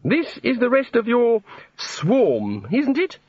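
A man plays a vague, fussy god in a storybook, high and swooping in pitch. He rambles and dithers like a distracted, bewildered inventor. The recording is a little dull. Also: huge pitch swings, takes it slowly, darkish tone, slightly rough, no room sound, heavily acted. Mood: flustered. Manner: dithering, absent-minded, rambling.

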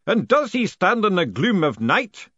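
Man acting pompous ritual master, booming, slow solemn intoning, raised pitch, storybook villainous grandeur, slightly muffled recording. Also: sing-song, bright, forceful tone, no room sound, exaggerated voice. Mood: solemn, commanding. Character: pompous, booming unseen master of a secret initiation.

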